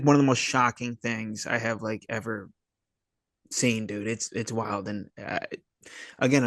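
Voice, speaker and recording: Male indie filmmaker guest, mid-pitched, dry close recording, slangy casual chat that stops and starts. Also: no echo. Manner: slangy, hesitant, halting delivery peppered with dude and man. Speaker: filmmaker and actor, interview guest.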